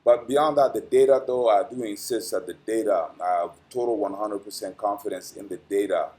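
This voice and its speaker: A man's voice: fairly low pitch, fairly even intonation, a little fast, dark tone. A man hosting an astrology channel, reading a dense weekly forecast with frequent "uh" fillers and small stumbles, then chatting casually in a rambling sign-off. He pronounces English carefully, as a language he learned later in life.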